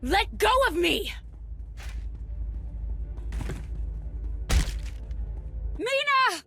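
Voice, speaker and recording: A woman's voice: very high voice, big pitch swings, unhurried, creaky, pushed and bright, faint music behind, full character voice. An actress playing a distraught, fiery young woman in a video game cutscene, impassioned and accusing. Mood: anguished.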